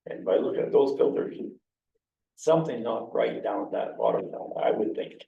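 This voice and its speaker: Hoarse, gravelly man in an echoey chamber. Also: mid-range voice, huge pitch swings. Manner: halting, searching for words. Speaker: councillor. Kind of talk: speaking at a council meeting. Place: council chamber. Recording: dull echoey chamber from far off.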